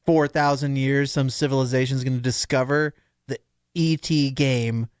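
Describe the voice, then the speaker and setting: A man's voice: medium pitch, steady tone, moderate pace, smooth, dry recording. Casual man bantering, dry close sound. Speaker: podcast co-host or panelist.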